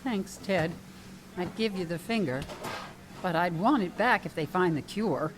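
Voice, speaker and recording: An actress playing a sardonic, down-on-her-luck waitress in a comedic radio drama, quick-witted, dry and exasperated. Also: fairly low pitch, expressive, background noise.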